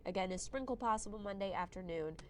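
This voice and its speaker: A woman's voice: mid-range voice, quick pace, darkish tone, slight background noise. Woman meteorologist with a cold. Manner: friendly, conversational, clears throat at times. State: has a cold, slightly hoarse. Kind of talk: live weather forecast on social media.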